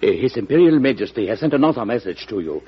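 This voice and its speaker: An actor playing an officious German diplomat in an old radio drama, formal and deferential. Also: male voice, mid-range voice, big pitch swings, fast talker, a little hiss or hum, lightly acted. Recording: muffled vintage radio recording.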